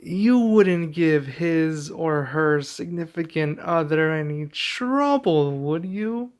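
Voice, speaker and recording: A man playing a young king and doting expectant father in an intimate ASMR roleplay, speaking softly and affectionately with some hesitation. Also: on the high side, expressive, takes it slowly. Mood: playful, affectionate. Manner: soft, affectionate, hesitant delivery.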